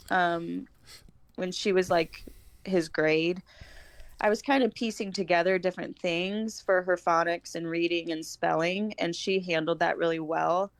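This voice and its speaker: American mom in her 30s just chatting on a podcast, lowish voice, lots of fry, close dry sound. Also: no echo. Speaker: podcast guest, homeschooling mother. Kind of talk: casual conversational chat.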